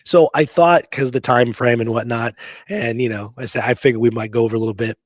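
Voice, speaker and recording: A man's voice: mid-range voice, fairly even intonation, fairly quick, no room sound. Casual male history host, dry close sound.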